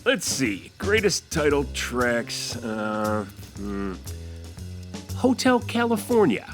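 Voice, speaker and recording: A man acts a boozy wisecracker in an audio drama. His mid-range voice leaps around in pitch as he tosses out hesitant, sarcastic quips. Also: big pitch swings, slow, music in the background. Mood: dry, hesitant. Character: boozy, wisecracking DJ named Max. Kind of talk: audio drama, casual banter.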